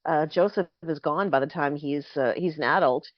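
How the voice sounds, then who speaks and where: A woman co-hosting a podcast, a pastor, slightly hoarse, explaining at length and correcting herself as she goes. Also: deep voice, normal speed, dry recording, muffled recording. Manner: explains at length, thinking aloud with frequent self-corrections. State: a little hoarse. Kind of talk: casual explanatory chat.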